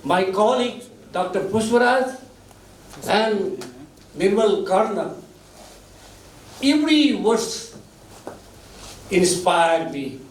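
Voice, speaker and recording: Man, high voice, very slow halting speech, echoey hall from a distance. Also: expressive. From Nepal. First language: Nepal Bhasha. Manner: slow halting delivery in short phrases, deeply moved. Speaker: author giving thanks at a book launch. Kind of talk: emotional formal speech. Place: event hall.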